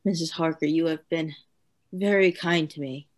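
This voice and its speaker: A woman's voice: low-pitched, steady tone, moderate pace. A woman playing a tormented, obsessive asylum lunatic in a radio play, with formal, theatrical diction. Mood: resigned, courteous. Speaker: actor.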